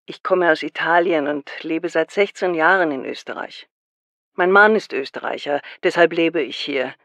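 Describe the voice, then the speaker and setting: A woman playing a calm radio caller in a scripted language-course dialogue. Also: low-pitched, no echo. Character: woman caller who moved from abroad.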